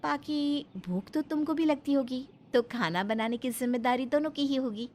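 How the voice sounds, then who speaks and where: A woman playing an assertive, ambitious young daughter in an animated moral story. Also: high-pitched, normal speed, background noise, natural voice. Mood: firm, assertive.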